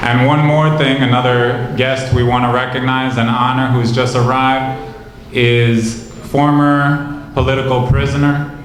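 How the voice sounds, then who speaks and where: A man's voice rings through a very echoey hall with faint noise. He is an MC making announcements at a live event, measured and matter-of-fact. His intonation stays quite flat. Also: medium pitch. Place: large indoor hall, tribute event.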